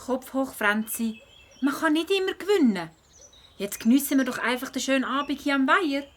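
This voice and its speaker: A female performer playing a bold, adventurous schoolgirl detective in a children's audio drama, lively and coaxing, with a Swiss German accent. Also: high voice, moderate pace, a little hiss or hum. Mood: upbeat, coaxing.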